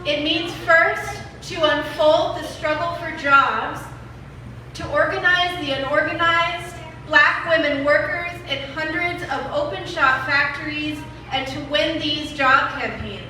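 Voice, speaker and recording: High, bright woman reading in echoey hall. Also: a little slow. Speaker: reader at a rally event. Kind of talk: dramatic reading of a political essay. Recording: echoey hall from far off, faint noise.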